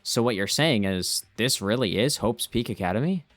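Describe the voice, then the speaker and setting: Earnest teenage boy a man acting, anxious, lowish pitch, music underneath. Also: lively intonation, normal speed, a bit bright, no echo. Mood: uneasy, questioning. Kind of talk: amateur fan dub with first-person narration.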